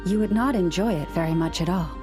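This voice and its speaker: A woman playing a gentle, composed young woman in a video game, with measured, articulate and gently wry delivery. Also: on the low side, music in the background, natural voice. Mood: reflective, resigned. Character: gentle, composed young woman who understands animal speech.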